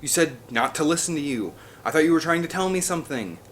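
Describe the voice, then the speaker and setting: A man voicing a small, stubborn young girl in an audiobook, earnest, quiet and defensive. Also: a little high, lively intonation, fast, slight background noise, voice pushed up. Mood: quietly defensive. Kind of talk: audiobook character dialogue.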